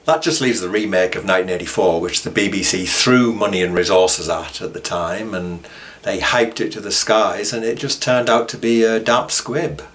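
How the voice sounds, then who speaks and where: Low-pitched man presenting. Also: moderate pace, noisy background. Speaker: author and presenter. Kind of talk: informal documentary commentary.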